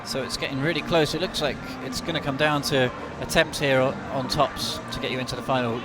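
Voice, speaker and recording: A man co-commentating live on a climbing competition, enthusiastic and excitable, often repeating words as he reacts to the action. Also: mid-range voice, brisk, over loud music. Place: big climbing competition hall with a large crowd. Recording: loud crowd and background noise in an arena.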